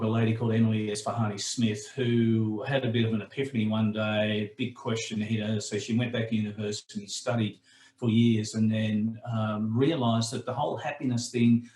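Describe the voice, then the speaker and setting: A man with a slightly croaky voice presenting a training webinar in a relaxed, conversational way with frequent fillers. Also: on the low side, reverberant, low-quality recording.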